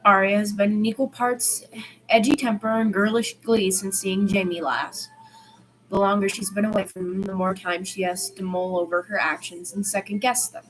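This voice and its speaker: A woman's voice: mid-range voice, steady tone, moderate pace, noisy background. A woman reading a story aloud in an informal way, stumbling over words now and then and correcting herself. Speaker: amateur fan fiction podfic reader.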